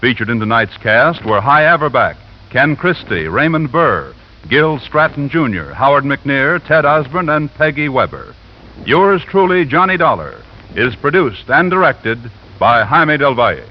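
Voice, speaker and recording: A man's voice: medium pitch, very animated, measured pace, slight background noise. An American man announcing from a script in the polished style of 1950s network radio. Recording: dull vintage radio, faint music behind.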